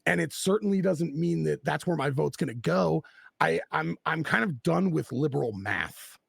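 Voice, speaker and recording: A man with a very gravelly, husky voice, speaking at a slightly raised pitch. He talks bluntly and with passion, swearing freely. The sound is close and dry. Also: animated, normal speed, no echo. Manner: blunt and profane, impassioned. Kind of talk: casual political chat.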